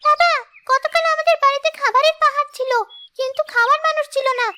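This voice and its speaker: Very high, bright young girl voiced by a woman. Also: steady tone, quick pace. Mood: earnest, pleading. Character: earnest young schoolgirl in a moral cartoon story. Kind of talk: scripted cartoon dialogue. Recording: music behind the voice.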